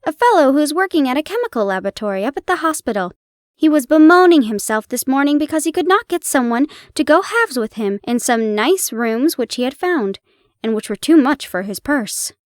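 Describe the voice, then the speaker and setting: A woman's voice: high-pitched, big pitch swings, a little fast, dry recording, studio quality. A woman voicing a friendly, chatty young male hospital dresser in a read-aloud story.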